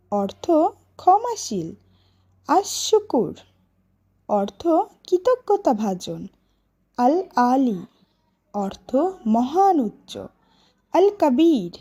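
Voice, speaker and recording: A woman teaching a lesson for children, reciting deliberately and instructively, word by word. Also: sits high, dark tone, slightly rough. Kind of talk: children's educational lesson, reciting names with meanings.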